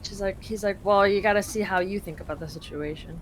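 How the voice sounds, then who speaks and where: A woman's voice: medium pitch, quick pace, a little hiss or hum, rough recording. A young woman from Canada translating a game live for a friend, chatty and full of fillers.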